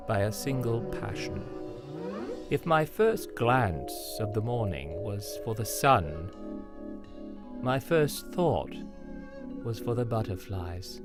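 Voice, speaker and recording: A man reading literary memoir passages as a documentary voice-over, measured and expressive. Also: lowish voice.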